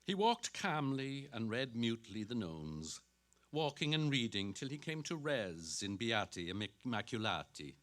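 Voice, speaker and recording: Bright, animated man reading literary prose. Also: medium pitch, unhurried. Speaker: actor reading aloud. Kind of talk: dramatic literary narration.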